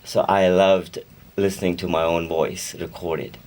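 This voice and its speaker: A man's voice: sits low, flat delivery, unhurried, darkish tone, distant mic. A man who speaks Urdu as his first language, chatting as a guest singer-songwriter in a radio interview, rambling, correcting himself and laughing easily.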